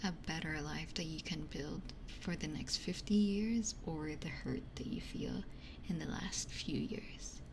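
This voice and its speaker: A woman streamer whispering in a breathy, conversational ASMR style, talking reflectively close to a binaural microphone. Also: low voice, measured pace, low-quality recording. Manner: reflective, conversational, repeats phrases for emphasis. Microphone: close binaural ear microphone.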